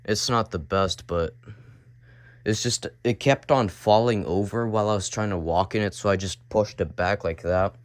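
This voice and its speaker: A young man with a cold, his voice deep and raspy, rambling casually as he films a tour of his home basement. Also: moderate pace. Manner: rambling, repeats and restarts as he shows things. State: sick, coughing. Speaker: vlogger.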